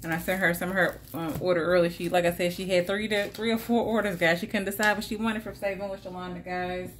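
A woman, chatting casually to her viewers while packing orders, animated and addressing them as "guys" again and again. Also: lowish voice, fast talker, slight background noise. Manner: animated, repeats "guys" and "like I said", laughs often. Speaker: budgeting vlogger and shop owner.

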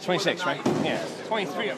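A man's voice: on the high side, lively intonation, brisk. A man bowling in a match, joking wryly and self-deprecatingly with the cameraman in a noisy bowling alley, picked up by a handheld camera. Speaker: bowler. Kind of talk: casual banter. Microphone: handheld camera nearby. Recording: loud bowling alley din.

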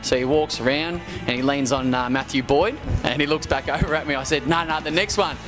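A young Australian footballer tells a relaxed story into a handheld interview mic, with chuckling asides. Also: male voice, a little high, fairly quick, over music. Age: young adult. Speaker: footballer guest. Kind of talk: casual anecdote.

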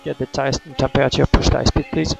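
A man's voice: mid-range voice, flat delivery, fast, vocal fry, darkish tone. A man working as a race engineer, giving terse, repeated instructions in a clipped manner over team radio. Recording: noisy motorsport team radio.

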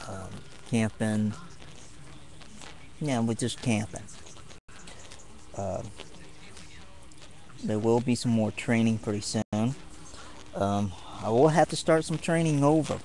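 A young man chatting casually to camera from a tent while camping, halting often with ums and pauses. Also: mid-range voice, a little mellow, slight background noise, low-quality recording. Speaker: martial arts vlogger. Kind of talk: rambling casual vlog.

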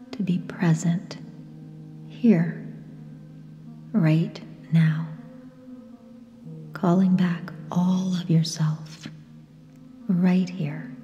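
A woman with a soft, breathy, whispery voice leads a guided meditation in short, gentle phrases with long pauses. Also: on the low side, dull audio. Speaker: yoga nidra guide. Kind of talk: guided meditation, slow and soothing. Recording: long reverb, soft music behind. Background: sound bath music.

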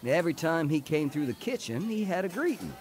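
Plainspoken tough bootlegger type, lively pitch, radio drama storytelling. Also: male voice, fast talker. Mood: wry, matter-of-fact. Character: tough male ex-criminal recounting his bootlegging youth. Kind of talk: dramatized first-person narration and dialogue.

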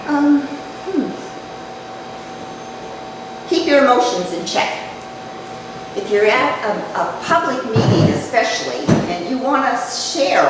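An older woman giving a chatty public talk to a live audience, her voice dropping low as she speaks. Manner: conversational, chatty, jokes with the audience. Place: community event room. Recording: echoey hall, mic far off, noisy.

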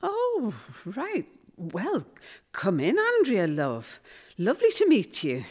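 An actress playing a chatty, nosy middle-aged Northern English mum in an audio play. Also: female voice, a little high, huge pitch swings, slow, very noisy, exaggerated voice. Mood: friendly, curious. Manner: gossipy, grumbling, uses endearments. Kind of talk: audio play performed on stage. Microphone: stand microphone.

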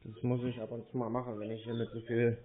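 A man's voice: mid-range voice, monotone, fast talker. A man chatting casually on a recorded speakerphone call, playing naive with an obtuse, rambling, literal-minded manner. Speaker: prank caller on scam call centres. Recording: muffled lo-fi phone recording, faint noise.

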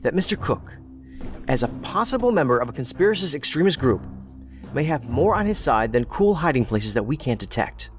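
A man's voice: mid-range voice, very animated, fairly quick, soft background music, natural voice. A man playing a combative, sardonic federal investigator in a science fiction audio drama, with a dry, needling manner. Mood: probing.

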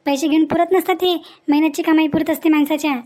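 Woman acting a feisty village woman, high voice, rapid scolding, cartoon comedy. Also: steady tone, close to an everyday voice. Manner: scolding, defiant, talkative. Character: feisty sharp-tongued kidnapped village woman.

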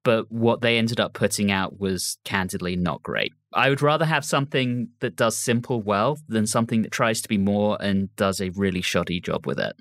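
A man's voice: fairly low pitch, moderate pace, hardly any pauses, fairly bright tone, dry recording, clean studio sound. A man hosting a casual review discussion on a podcast. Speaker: podcast host.

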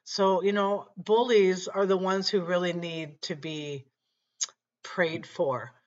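A middle-aged woman with a slightly raspy voice, telling a personal faith story earnestly to her webcam at home. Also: lowish voice, steady tone, takes it slowly, muffled recording. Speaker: channel host. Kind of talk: faith-based personal talk to camera. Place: small room at home, indoors.

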